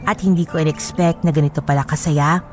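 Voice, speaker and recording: A woman's voice: low-pitched, fairly even intonation, brisk, darkish tone, faint music behind, lightly acted. An actress with a Filipino accent narrating as a reflective bride recounting her love story in a heartfelt way for a radio drama. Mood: reflective, fond.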